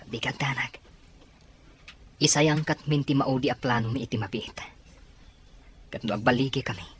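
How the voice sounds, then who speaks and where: An actor with an Ilocano accent playing an earnest, protective grown son in a radio drama. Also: male voice, mid-range voice, normal speed, slight background noise, lightly acted. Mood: reassuring, grave.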